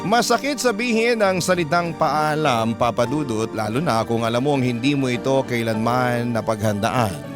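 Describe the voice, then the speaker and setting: A man's voice: mid-range voice, normal speed, few pauses. Filipino man narrating over prominent music. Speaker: radio host and narrator. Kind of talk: dramatic reading of a listener's love letter.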